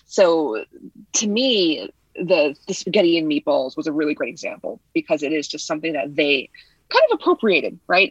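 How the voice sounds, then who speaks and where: A woman's voice: deep voice, a bit bright, husky, dry recording, highs cut off. A woman whose first language is Vietnamese, a guest talking casually and loosely, leaning often on "like" and "you know.". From United States. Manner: chatty, heavy on fillers like "like" and "you know". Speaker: guest writer. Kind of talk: casual conversation.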